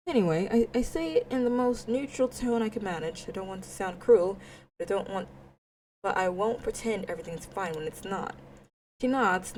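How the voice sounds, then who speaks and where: A woman's voice: medium pitch, darkish tone, a little hiss or hum, low-quality recording. A woman narrating a visual novel in a let's play, reading expressively and dropping in casual side remarks. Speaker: gaming video creator reading a visual novel aloud.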